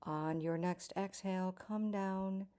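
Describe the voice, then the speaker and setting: A woman teaching a yoga class, giving calm, measured instructions with soft, breathy phrase endings. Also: mid-range voice.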